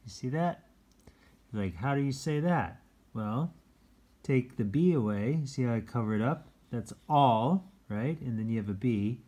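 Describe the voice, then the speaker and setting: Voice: medium pitch, animated, measured pace, dark tone. A person reading a children's picture book aloud in an informal, chatty way, adding asides and explaining words simply to young listeners.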